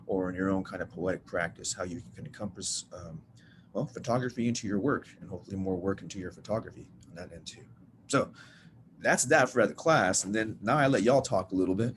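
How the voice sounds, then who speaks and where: Low man, workshop leader, easygoing and rambling on a video call with steady background noise. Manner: loose and rambling, self-deprecating, says y'all. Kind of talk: casual teaching talk. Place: at home, typing on a bed.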